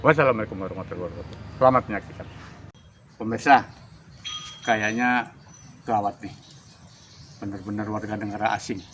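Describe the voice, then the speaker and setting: A man with an Indonesian accent hosting a bird-breeding channel, presenting in an energetic, emphatic announcer style. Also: lowish voice, quick pace, long pauses, slight background noise. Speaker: host.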